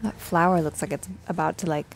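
A woman's voice: deep voice, fairly even intonation, quick pace, gravelly, a little hiss or hum. A woman hosting a reaction video, commenting casually on what she watches. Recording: game music faintly behind.

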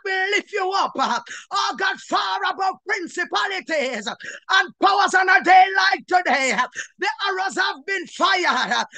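A woman minister prays at a shout, her voice extremely high and tearful. She delivers impassioned Pentecostal declarations in short bursts, breaking them with "uh" and exclamations to God. The sound is dry and close. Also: no echo. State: tearful, crying. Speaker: minister leading prayer. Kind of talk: fervent Pentecostal prayer.

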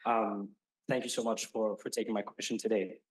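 A man's voice: on the low side, level tone, normal speed, poor audio. A man in his twenties from Luxembourg, a student asking a prepared question politely with some fillers. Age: 20s.

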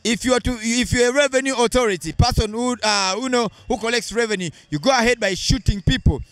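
A man's voice: very high voice, fast talker, fairly bright tone, dry recording. A young man hosting a street interview outdoors on a handheld mic, talking in a sing-song presenter style that mixes English and a local language. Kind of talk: street vox-pop interview. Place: busy town street outdoors.

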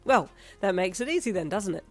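A woman plays a hearty male noodle shop owner in an anime story. He is loud, bright and eager to please, with a merry bounce to his words. Soft music sits underneath. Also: medium pitch, fast, natural voice. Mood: jolly.

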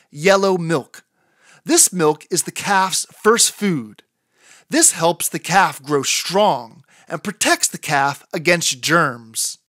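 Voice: medium pitch, a bit bright, no echo, clean studio sound. A narrator reading a children's picture book aloud in a careful storytelling manner for young listeners.

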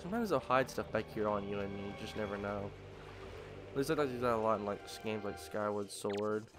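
Let's-play host, man, a bit nasal and low-pitched, rambling commentary over steady game music. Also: brisk. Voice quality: slightly nasal from allergies. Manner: rambling, thinking aloud with many ums. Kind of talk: casual gameplay commentary.

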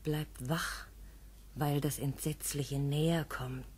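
A man's voice: fairly high pitch, fairly even intonation, slow, breathy edge. A man reading urgent, half-whispered warnings as an interlude voice in a 1950s radio play. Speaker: reader of interlude texts. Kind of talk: scripted poetic narration in a 1950s radio play.

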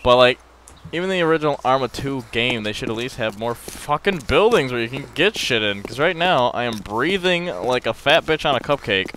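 A man's voice: mid-range voice, a little hiss or hum. A young man casually commentating on a zombie survival game with a friend, rambling and crude-joking, recorded on a headset mic. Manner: rambling, crude joking, breaks into excited bursts. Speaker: gameplay channel host.

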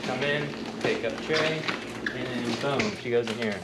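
A man working in a fish cleaning room, calmly explaining each step of the process. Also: medium pitch, unhurried, reverberant, distant mic, heavy background noise. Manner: matter-of-fact, step-by-step explaining. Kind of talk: casual walkthrough.

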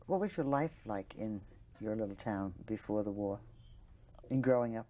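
A woman with a very deep, mellow voice conducts an interview. She asks short, gentle questions and keeps things moving with quiet mm-hmms. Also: animated, moderate pace, a little hiss or hum. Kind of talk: oral history interview questions.

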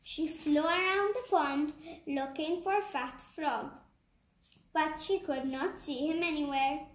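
A woman's voice: sits high, slow. A woman narrating a children's puppet story with careful read-aloud delivery in a room at home. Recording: echoey room with background noise.

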